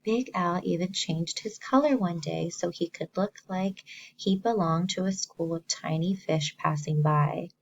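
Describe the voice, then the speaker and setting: A woman teacher reading a story to young children, expressively, pausing to explain simply and ask the listeners questions. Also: lowish voice, lo-fi sound, slight background noise.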